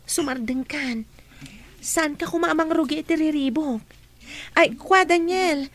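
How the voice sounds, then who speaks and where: High-voiced, chiding schoolgirl friend. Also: unhurried. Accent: Filipino, Ilocano. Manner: chatty, gently scolding. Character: teenage schoolgirl, sensible, chiding best friend. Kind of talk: radio drama acting.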